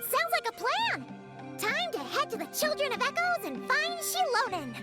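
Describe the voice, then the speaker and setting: A woman voicing a tiny, excitable childlike fairy companion in a video game, squeaky and bubbly. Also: moderate pace, over music, exaggerated voice. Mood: eager. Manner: exclamatory, bubbly.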